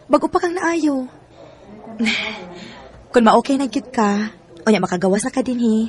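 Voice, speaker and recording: A woman's voice: fairly high pitch, expressive, normal speed, natural voice. A woman acting a tender, long-suffering young mother in a Bisaya radio drama, with a Cebuano accent.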